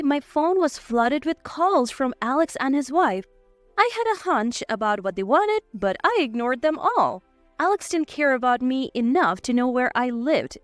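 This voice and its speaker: Woman narrator reading a drama story, high voice, really dry sound, soft music under it. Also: no echo. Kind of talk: scripted first-person dramatic narration. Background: faint background music.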